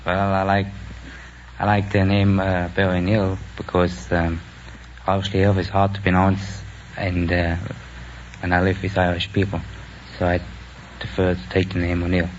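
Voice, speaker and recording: A young man from Germany with a German accent, answering earnestly and haltingly as a quiz contestant. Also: low voice, monotone. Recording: dull, noisy vintage radio recording.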